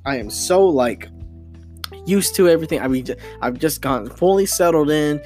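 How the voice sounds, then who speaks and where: A young man hosting a casual solo podcast, rambling and excitable, full of restarts and fillers. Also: a little high, normal speed, soft background music. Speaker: podcast host.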